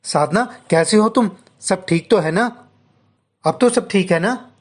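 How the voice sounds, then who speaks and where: Man playing a humble devout villager, higher voice. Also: slow. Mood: worried. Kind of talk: story dialogue.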